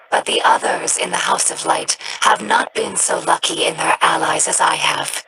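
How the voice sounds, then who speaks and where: A young alien scavenger girl with a gravelly, slightly low voice. She talks slowly and thoughtfully, earnest and reflective, like a video game character sharing her people's history. Clear ambient noise hums behind her. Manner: calm, measured, thoughtful.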